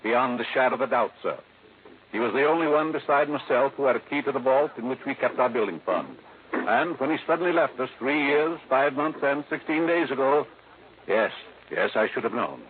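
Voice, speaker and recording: A man's voice: medium pitch, lively intonation, fairly quick, lightly acted. Prim, old-fashioned company elder played by a man. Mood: fussily regretful. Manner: formal, fussy and precise, stately wording. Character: prim, old-fashioned, pedantic male senior head of a furniture company. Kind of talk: 1950s radio drama acting. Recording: muffled old radio broadcast, faint noise.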